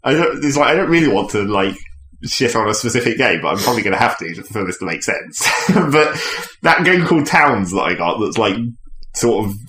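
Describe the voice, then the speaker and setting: A man's voice: fairly low pitch, big pitch swings, fairly quick, fairly bright tone. A man chatting casually as a podcast panelist, rambling a little and qualifying his points as he goes. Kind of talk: casual chat. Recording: echoey room with background noise.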